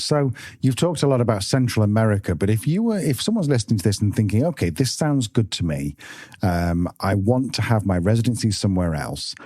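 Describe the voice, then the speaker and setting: British male host, chatty interviewer, dark mellow tone, dry sound. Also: deep voice, no echo. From Britain. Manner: chatty, asks long questions. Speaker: podcast host. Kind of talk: casual interview.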